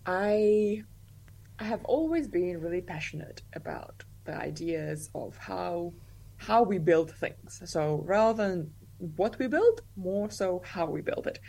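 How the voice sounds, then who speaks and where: A young woman speaks in a lowish, relaxed voice. She talks casually as an interview guest, loose and chatty, leaning on "like" and laughing easily. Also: slow pace, vocal fry, low-quality recording. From Russia. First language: Russian.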